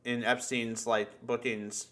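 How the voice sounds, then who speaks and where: A man hosts a loose, casual podcast conversation. He talks in an excitable, halting way at an average male pitch and swears freely. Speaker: podcast host. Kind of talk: casual, profane group chat.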